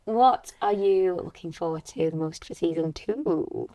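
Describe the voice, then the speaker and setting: A woman's voice: fairly low pitch, a little slow, warm tone, no room sound. A woman chatting casually as an actress on a podcast, asking and answering questions. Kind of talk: casual chat.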